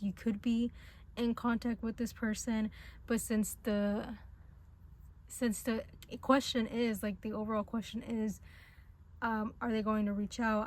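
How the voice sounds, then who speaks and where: A woman with a fairly high voice talks through a casual tarot reading. English is not her first language. She sounds gentle and reassuring, hesitating often and filling pauses with little fillers. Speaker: tarot reader.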